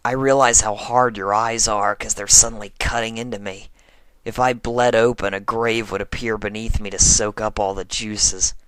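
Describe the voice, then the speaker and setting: A performer reading fan fiction aloud as a dramatic first-person narration, in a deliberate manner. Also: mid-range voice, steady tone, fairly bright tone, clean tone, no echo, poor audio.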